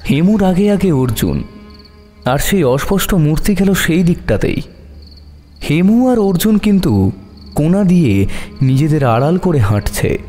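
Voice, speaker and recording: A man narrating a horror story dramatically, with suspense and long pauses. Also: on the high side, sing-song, mellow. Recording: long hall reverb over music.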